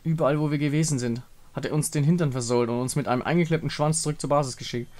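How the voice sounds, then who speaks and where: A man's voice: on the high side, fairly even intonation, quick pace, darkish tone, lightly acted. A man voicing a downcast, weary female sentinel in a fantasy game, subdued and dry. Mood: dejected.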